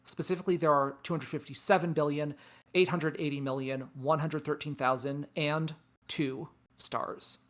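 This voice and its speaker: A performer in a table read playing a weary, knowing space probe, speaking in a measured, deliberate, philosophical way. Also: medium pitch, fairly even intonation, close to an everyday voice. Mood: matter-of-fact. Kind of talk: table read of science fiction script. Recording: music behind the voice. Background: music.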